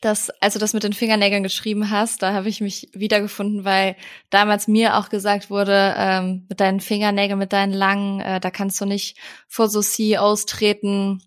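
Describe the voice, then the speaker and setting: Flat-voiced hesitant woman podcast host. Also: medium pitch, normal speed. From Rhineland, Germany. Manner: hesitant, many fillers, even delivery. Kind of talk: podcast interview.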